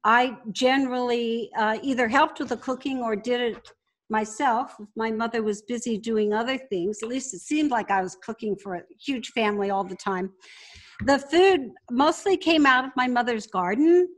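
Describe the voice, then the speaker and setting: A woman's voice: fairly high pitch, moderate pace. An older woman speaking conversationally as an interview guest, recalling and explaining. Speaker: podcast guest, business founder.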